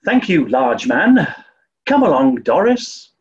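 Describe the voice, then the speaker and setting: A man playing a dim-witted, stuffy upper-class English gentleman with an English accent in a 1940s-style radio comedy. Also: a little high, very animated, slow pace, highs cut off. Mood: polite, pompous.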